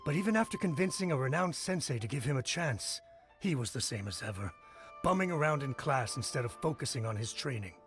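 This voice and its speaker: A man's voice: mid-range voice, lively intonation, fairly quick, a bit bright, faint music behind, close to an everyday voice. A man playing a plain-spoken, disparaging villager in a video game, matter-of-fact in his delivery. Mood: disapproving.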